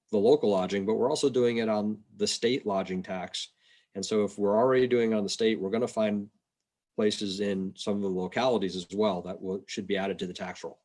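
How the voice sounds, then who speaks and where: A man with a fairly low voice talks through a presentation on a video call. His delivery is informal and explanatory, with a halting, plain-spoken way of laying things out. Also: darkish tone. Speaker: state revenue agency policy presenter. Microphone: video call microphone.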